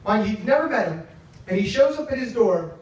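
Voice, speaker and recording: A young man giving a humorous, teasing wedding toast as best man, telling stories haltingly into a handheld microphone in a tent. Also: high voice, animated, darkish tone, highs cut off. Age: young adult. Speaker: best man, brother of the groom. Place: large wedding reception tent. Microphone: handheld microphone over speakers, filmed from a few metres away. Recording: echoey, distant, noisy event recording.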